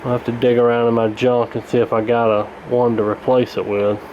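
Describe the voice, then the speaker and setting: A man narrating a repair casually in an unhurried, halting, matter-of-fact way. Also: medium pitch, flat delivery, darkish tone, dull audio, a little hiss or hum. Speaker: radio repair hobbyist presenting.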